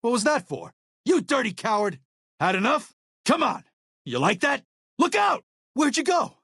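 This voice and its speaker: A man's voice: very high voice, big pitch swings, a little slow, fairly bright tone, breathy edge, no room sound, clean studio sound, heavily acted. An actor playing a devout, weary missionary man in a video game, speaking with earnest gravity. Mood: combat shouting. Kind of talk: video game character dialogue.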